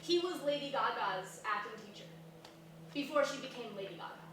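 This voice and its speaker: A flighty, blunt young woman as an actress plays her on stage rambles through comedy dialogue with plenty of hesitation. Her voice sits somewhat high. It is caught from a distance in a very reverberant, noisy room. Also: animated, brisk, natural voice. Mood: earnest, rambling. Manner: rambling, hesitant, filler-laden. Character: flighty, blunt young woman, a fame-hungry college dropout. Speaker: stage actor in a staged reading. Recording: echoey room from far off, noisy.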